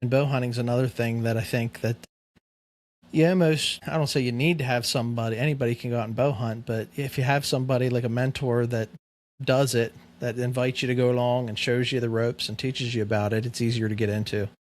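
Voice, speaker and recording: A man's voice: medium pitch, steady tone, fairly quick, a little mellow. A man hosting a podcast, chatting casually and rambling, with many repeated words and "you know"s. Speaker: podcast host. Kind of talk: casual chat.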